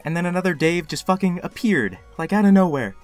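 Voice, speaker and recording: An actor voicing a flustered, excitable young male fan in an animated comic dub, easily exasperated and prone to stammering. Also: medium pitch, a little fast, faint music behind, natural voice. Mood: frantic. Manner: urgent, stammering.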